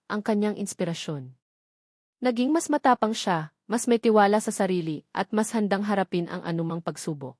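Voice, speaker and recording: A woman's voice: medium pitch, a little mellow, no room sound, clean studio sound. A woman reading a scripted story as its narrator.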